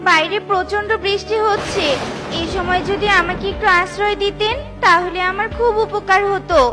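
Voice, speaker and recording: A dutiful young cartoon heroine played by a woman, kind and earnest. Her voice is extremely high and girlish, given to exclamations, and music runs behind it. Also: steady tone, moderate pace. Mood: polite, pleading. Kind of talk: children's cartoon voice acting.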